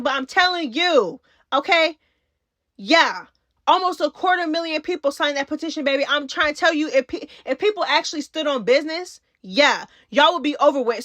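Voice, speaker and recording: A woman's voice: high voice, bright, forceful tone, dry recording, studio quality. A woman giving casual gossip commentary as a channel host, speaking in a sassy, emphatic, slangy way. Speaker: host of a reality TV recap channel.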